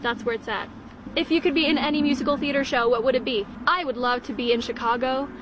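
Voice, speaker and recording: A woman from Sweden whose first language is Swedish, giving relaxed interview answers. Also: high-pitched, fast talker, noisy background.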